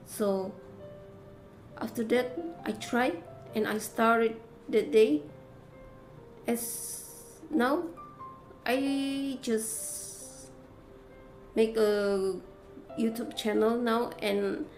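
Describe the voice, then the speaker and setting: Slow-speaking woman with an Indonesian accent. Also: on the high side, steady tone, gravelly, darkish tone, low-quality recording. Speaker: gaming content creator. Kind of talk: prepared speech to camera. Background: faint music.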